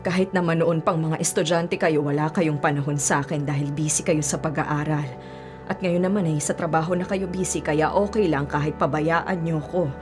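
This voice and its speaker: Radio drama: actress as ailing, self-sacrificing Filipino mother, lowish plaintive voice, music underneath. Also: fairly even intonation, normal speed, close to an everyday voice. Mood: hurt, resigned. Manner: long-suffering, plaintive.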